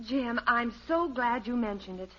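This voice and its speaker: An actress playing a patient, gently chiding middle-aged American wife and mother in a 1950s radio sitcom. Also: on the high side, takes it slowly, very noisy. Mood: chatty. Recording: dull old radio recording.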